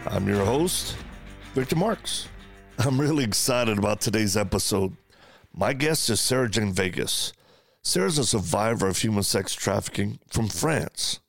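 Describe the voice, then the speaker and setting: Male interview host, gravelly, enthusiastic, conversational. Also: fairly low pitch, animated, unhurried, soft background music. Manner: enthusiastic, repeats words when thinking. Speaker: podcast host.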